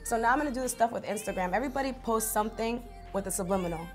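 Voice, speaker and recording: A woman's voice: fairly high pitch, very fast, faint music behind. A woman in her late twenties hosting an interview, chatty and teasing in a casual way. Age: 20s. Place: small studio with branded backdrop.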